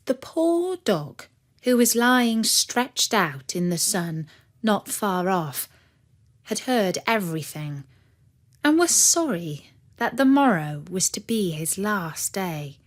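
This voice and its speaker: A woman narrating a fairy tale for children in an expressive, measured storytelling manner. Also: medium pitch.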